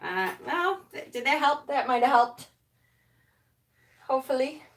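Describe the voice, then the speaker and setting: A woman chatting loudly and cheerfully with viewers of her live stream from a small room at home, standing away from the camera. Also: high voice, expressive, unhurried. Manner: loud, chatty, upbeat. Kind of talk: casual live-stream chat greeting viewers. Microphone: camera set a few feet away. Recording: voice a little distant from the mic.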